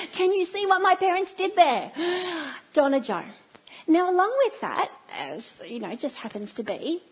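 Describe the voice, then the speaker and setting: A woman's voice: high-pitched, slightly rough. A middle-aged Australian woman giving a sermon in a church, telling stories with playful asides. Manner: warm storytelling, playful asides. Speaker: preacher.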